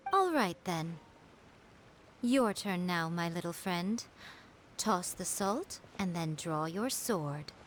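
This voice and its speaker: A woman playing a sly, imperious shrine priestess in a video game, with a measured, condescending, coolly menacing delivery. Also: on the low side, expressive, slight background noise. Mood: mocking, commanding.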